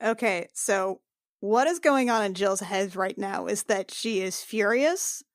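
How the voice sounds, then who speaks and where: A woman's voice: mid-range voice, unhurried, no room sound, studio quality. A woman playing in a tabletop podcast, narrating her character's thoughts and actions. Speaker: player on actual-play podcast.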